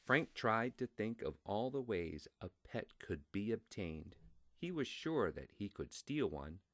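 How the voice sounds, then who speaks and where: Expressive, slow-paced man reading a storybook. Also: fairly low pitch. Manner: deliberate, expressive. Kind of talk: storybook read-aloud for children.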